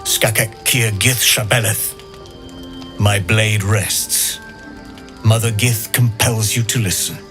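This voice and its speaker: An actor playing a solemn githyanki knight and secret rebel in a fantasy game, speaking in a deliberate, formal way. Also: male voice, lowish voice, fairly even intonation, over music. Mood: measured, placating. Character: grave githyanki knight, a secret rebel against his queen. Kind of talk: dramatic fantasy game acting.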